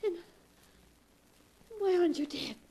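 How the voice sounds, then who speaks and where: An actress in a 1940s radio drama playing a desperate young woman who speaks in breathless, halting, pleading bursts. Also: very high voice, animated, dark tone, full character voice. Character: a desperate young woman facing her lover.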